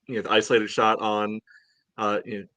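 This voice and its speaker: A man casually discussing a match as a podcast co-host, in halting, meandering phrases. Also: on the low side, flat delivery, bright, forceful tone, dry recording, rough recording. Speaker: soccer fan podcast co-host.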